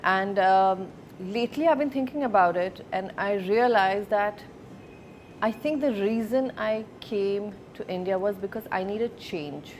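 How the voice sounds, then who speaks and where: Woman, 30s, from Sweden, interview guest, reflective and unhurried, mid-pitched voice, faint low rumble behind. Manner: thoughtful, many pauses and fillers. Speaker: guest, film producer.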